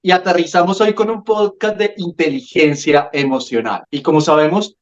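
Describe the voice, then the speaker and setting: A Colombian man with a Bogotá accent, talking on a casual podcast in a slightly high voice. He explains things in an animated way and often repeats words as he gets going. The sound is dull and lo-fi. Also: normal speed. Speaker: podcast co-host. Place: home room with a window.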